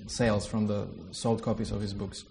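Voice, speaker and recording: A man's voice: on the low side, flat delivery, moderate pace, creaky, warm tone, slight background noise. A man from the Czech Republic with a Czech accent, a theology lecturer opening a workshop in a hesitant, filler-heavy way. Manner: hesitant, many fillers, self-deprecating. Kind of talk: informal workshop talk with a prayer.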